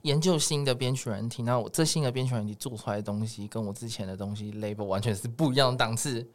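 A man's voice: mid-range voice, fast talker. A man with a Taiwanese Mandarin accent chatting casually as a music producer guesting on a podcast.

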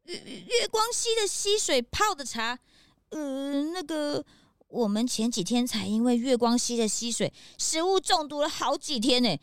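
A woman voicing an excitable, easily confused male detective's assistant in a children's radio drama. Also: high-pitched, measured pace, clear, resonant tone, no room sound, studio quality. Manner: wide-eyed, exclaims a lot.

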